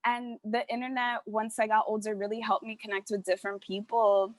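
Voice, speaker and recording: A woman's voice: on the high side, little pitch movement, a little fast, dry recording. A woman from New York speaking candidly on a video-call panel, emphatic and casual, with the odd swear word. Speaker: panelist artist.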